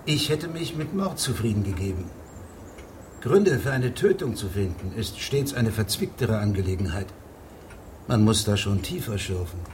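Casting: male actor as deadpan, hair-splitting judge, low register, formal and measured, noticeably noisy ambience. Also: close to an everyday voice. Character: shrewd, pedantic male federal judge. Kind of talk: radio drama acting.

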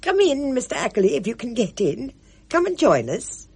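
A woman voicing a cheerful, excitable little older woman who chatters merrily in a high, fluttery voice. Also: normal speed, gravelly, breathy edge, heavily acted. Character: chirpy little red-faced older woman.

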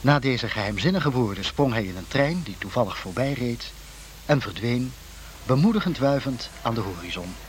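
A man's voice: medium pitch, lively intonation, normal speed, a little hiss or hum. A man reading a scripted literary introduction as narrator, with wry, formal phrasing.

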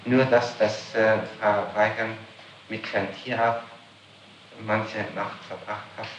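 A man questioning someone formally as a prosecutor, halting but persistent, recorded on an old tape. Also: lowish voice, flat delivery. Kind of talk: formal interrogation. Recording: old echoey tape, clear noise.

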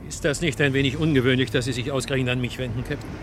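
An actor playing a skeptical, courteous defense lawyer in an old German radio crime drama, formal and pointed. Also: male voice, mid-range voice, steady tone, rapid-fire, noisy background, natural voice. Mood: surprised, skeptical. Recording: vintage radio play, echoey reverberant space.

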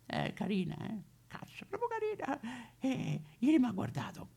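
An actor playing a boozy, cheeky, lonely homeless tramp of fallen nobility, in a rambling, foul-mouthed, singsong stage monologue with a Roman accent. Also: male voice, extremely high pitch, wildly swooping pitch, normal speed, creaky, dark tone, husky, heavily acted. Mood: boastful, wistful. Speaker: stage actor in a solo show. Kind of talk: theatrical comic monologue addressed to listeners.

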